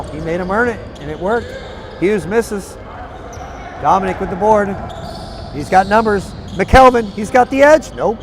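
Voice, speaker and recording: A hoarse man with a raspy voice doing live, partisan color commentary for a basketball game. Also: high voice, background noise. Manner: partisan, cheers on one team with "we". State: hoarse, tired voice.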